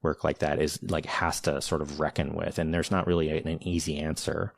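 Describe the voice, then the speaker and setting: A man with a very low voice hosts a conversation, choosing his words carefully and circling back to rephrase. The sound is dry and close, with no room around it. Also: flat delivery, creaky, no room sound. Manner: measured, searching, many restarts and hedges. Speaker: podcast host, interviewer. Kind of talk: thoughtful conversational interview.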